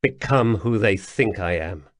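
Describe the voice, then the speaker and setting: A man's voice: on the low side, lively intonation, measured pace, no echo, studio quality. A man voicing an imperious young prince who speaks in a commanding, clipped way. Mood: shaken.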